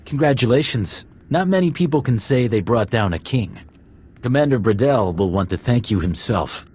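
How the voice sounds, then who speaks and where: Casting: matter-of-fact game soldier, husky voice of medium pitch, giving orders. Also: male voice, animated, moderate pace, darkish tone. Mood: businesslike. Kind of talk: video game cutscene acting.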